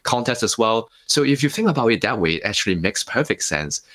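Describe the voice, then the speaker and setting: A man from Hong Kong with Cantonese as his first language, his voice sitting very low. He answers as a podcast guest, reflective and unhurried, telling stories and leaning on "you know" as he goes. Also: sing-song, a bit bright. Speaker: guest, life coach and author. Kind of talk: podcast interview.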